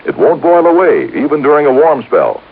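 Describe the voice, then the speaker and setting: Male commercial announcer, 1950s radio style, emphatic, animated pitch, narrow-band recording. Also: fairly quick, slightly rough. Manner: emphatic, polished salesmanship. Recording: muffled vintage radio broadcast.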